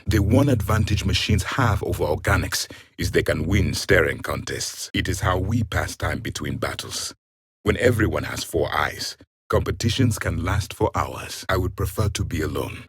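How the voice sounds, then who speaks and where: An actor playing an ancient alien warrior in a video game, the grim and scornful last of his race, with a husky, raspy voice and stern, haughty, formal phrasing. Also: fairly low pitch, fairly bright tone, dry recording, studio quality, heavily acted. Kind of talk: video game character voice lines.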